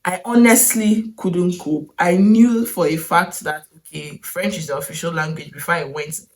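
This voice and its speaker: A young woman with a Nigerian accent talks through her travel story in a relaxed vlog. Her voice sits a little low for a woman. She muses aloud, asks herself questions and laughs briefly now and then. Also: moderate pace. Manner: chatty, wondering aloud, with short laughs. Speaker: vlogger.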